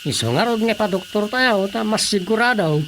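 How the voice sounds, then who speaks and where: A man's voice: high-pitched, expressive, normal speed. An actor playing a cautious village councilman who urges seeing a doctor, in a radio drama. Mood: concerned. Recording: radio drama with background noise.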